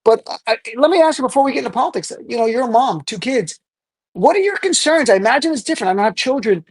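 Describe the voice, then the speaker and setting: A man's voice: very high voice, big pitch swings, fast, breathy edge, no room sound. A middle-aged American man hosting a talk show in a conversational interview style.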